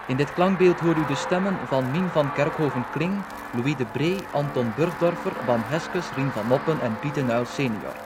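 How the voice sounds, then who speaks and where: Man, Dutch accent, 1950s radio announcer, measured, formal, moderately high pitch, strong background noise. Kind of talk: formal 1950 broadcast credit reading. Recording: very noisy vintage 1950 radio recording.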